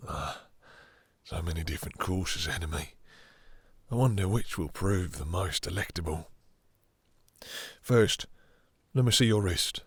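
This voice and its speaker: Slow, deep, husky vampire master, acted by a man. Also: dry recording. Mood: seductive, hungry. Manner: slow, theatrical, condescending, purring menace. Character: possessive, domineering male vampire master, seductive and menacing. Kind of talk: intimate scripted roleplay audio drama.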